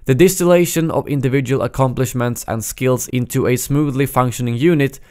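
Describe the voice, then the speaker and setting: A man with a Swedish accent reading the lines of a stern male imperial admiral in a formal, measured way. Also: medium pitch, darkish tone, clear, resonant tone, close to an everyday voice.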